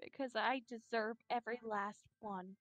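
A woman's voice: fairly high pitch, fairly even intonation, moderate pace, no room sound, dull audio, close to an everyday voice. A woman voicing a despairing, self-blaming anime schoolgirl, tearful and tender, in a visual novel reading. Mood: guilty, sad.

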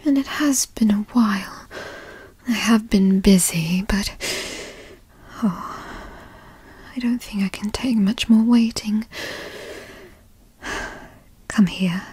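A woman playing a possessive, doting, teasing girlfriend in a whispered ASMR roleplay, coaxing and cooing in a breathy voice. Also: mid-range voice, darkish tone, dry recording. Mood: cajoling, possessive. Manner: coaxing, cooing, slow and indulgent. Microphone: close studio mic.

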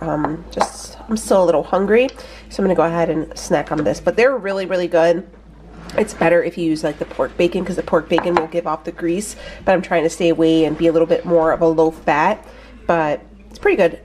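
A woman's voice: fairly low pitch, noisy background. A young woman vlogger with a stuffy, nasal voice, chatting casually about her meals in her home kitchen on a handheld phone camera. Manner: chatty, rambling, upbeat. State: stuffy from allergies. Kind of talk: casual food diary chat.

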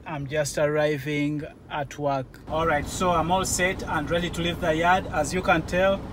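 A man's voice: on the high side, steady tone, moderate pace. A man, around his forties, talking casually and explaining things to viewers like a vlogging driver. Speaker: truck driver vlogger giving advice. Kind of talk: casual vlog talk to viewers. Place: mostly inside a truck cab, some outdoors. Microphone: phone or camera at arm's length. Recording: noisy handheld recording with vehicle ambience.

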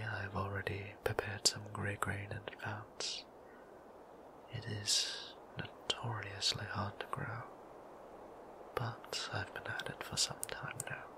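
A man voicing a gentle, formal male vampire priest in an ASMR roleplay, whispering with courtly, old-fashioned politeness. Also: fairly low pitch, low-quality recording.

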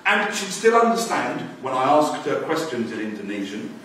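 A man in his fifties telling a personal story at a conference, animated and acting out quoted voices. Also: mid-range voice, moderate pace, a little hiss or hum. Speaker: conference speaker. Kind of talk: storytelling talk. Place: conference room with projector screen. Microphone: far camera, no mic. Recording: roomy echo from far off.

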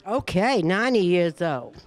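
A woman's voice: fairly low pitch, very animated, normal speed. An older woman hosts a birthday gathering, teasing and chatting fondly with a handheld mic in a community room. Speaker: event host. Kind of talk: casual hosting with a handheld mic. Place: community center party room, indoors.

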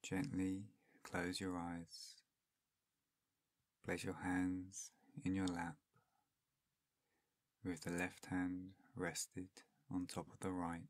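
A man guiding a meditation in a soft-spoken, soothing, unhurried manner with long pauses between phrases. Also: low-pitched, monotone. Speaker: meditation guide. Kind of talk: guided meditation narration.

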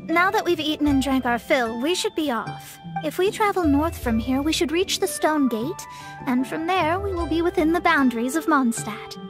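Haughty, high-voiced young astrologer. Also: female voice. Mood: haughty, pleased. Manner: haughty, formal, theatrical. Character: proud young female astrologer, haughty, self-important master. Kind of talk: English game dub acting.

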